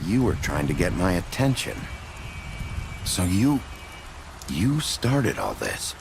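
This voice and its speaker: An actor playing a gruff, world-weary lawman in a noir video game, gravelly, terse and brooding. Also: low-pitched, wildly swooping pitch, slow pace, heavily acted. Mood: probing, surprised. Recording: noisy street ambience behind the voice.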